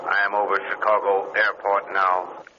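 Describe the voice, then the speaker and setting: An actor playing a sinister, obedient werewolf pilot in old radio horror, speaking in slow, wooden declarations. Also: male voice, low-pitched, completely flat delivery, natural voice. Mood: coldly obedient. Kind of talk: 1940s radio horror acting. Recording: noisy, dull old radio recording.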